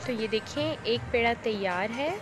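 A woman calmly explains each cooking step in a voice-over, speaking directly to viewers. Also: fairly high pitch, moderate pace. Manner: calm, instructive, addresses viewers directly. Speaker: cooking channel host. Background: loud background music.

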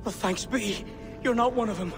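An actor playing a frightened, shaken Irish villager in a video game, speaking haltingly with an Irish accent. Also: male voice, high voice, vocal fry, in a large hall, over music. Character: frightened Irish commoner man, shaken survivor. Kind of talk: video game cutscene acting.

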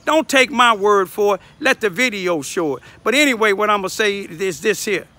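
A man's voice: high-pitched, animated, normal speed, sharp tone. An older man giving informal, emphatic commentary outdoors into a phone, repeating himself for emphasis. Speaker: local citizen reporter. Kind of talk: informal selfie vlog commentary. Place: outdoors in a backyard. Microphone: phone at arm's length.

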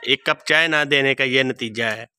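A man's voice: medium pitch, normal speed, pushed and bright, clear, resonant tone, dry recording. A man in his thirties from Pakistan, joking and chatting with family in a home vlog outdoors. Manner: joking, teasing. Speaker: vlogger, husband. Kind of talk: casual family chat. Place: rural home courtyard, outdoors.

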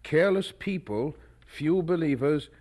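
An actor playing a devout, thoughtful young preacher in a radio drama, speaking formally and earnestly. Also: male voice, on the high side, animated, unhurried, a little mellow, a little hiss or hum. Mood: pondering.

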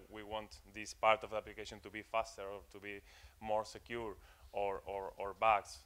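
A man's voice: on the low side, a bit bright, smooth. A young man giving a technical talk to an audience in a conference room, hesitant with many fillers and repeated words. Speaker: conference speaker.

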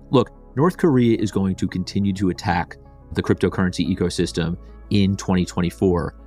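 Male podcast host, deep voice, measured scripted read, soft music bed under him. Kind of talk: scripted intro then casual interview chat.

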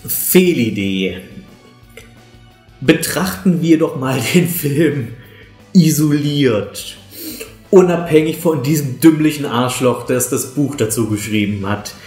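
A man's voice: mid-range voice, expressive, takes it slowly, darkish tone. A man gives casual, opinionated film reviews in a conversational way. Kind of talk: casual spoken review to camera. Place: small living room, on a sofa. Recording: roomy indoor echo, music behind.

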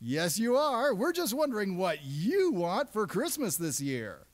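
Upbeat puppet radio DJ, a guy played by a woman, very animated and unhurried, patient, showy comic host. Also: mid-range voice. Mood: polite, flustered.

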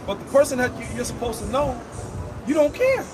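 A man's voice: extremely high pitch, sing-song, fairly quick, recorded from a distance, music in the background. A man street preaching outdoors, blunt and profane, mocking his listeners between warnings. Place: outdoors on a city sidewalk.

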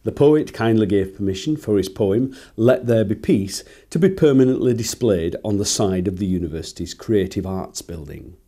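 Low, mellow male narrator. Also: lively intonation, normal speed, hardly any pauses. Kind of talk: scripted promotional narration.

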